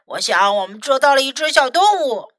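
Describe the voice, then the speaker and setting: A woman voicing a sly little prankster animal in a storybook, conspiratorial and playfully gruff. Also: sits high, a little slow, sharp tone, dry recording, studio quality. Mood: mock gruff. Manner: conspiratorial, funny put-on gruffness.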